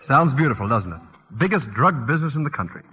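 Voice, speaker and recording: An actor playing an evasive, sardonic executive husband with a husky voice and dry, clipped 1940s radio delivery. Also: male voice, medium pitch, very animated, fast talker, bright, forceful tone. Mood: dry, guarded. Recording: muffled old radio broadcast, reverberant.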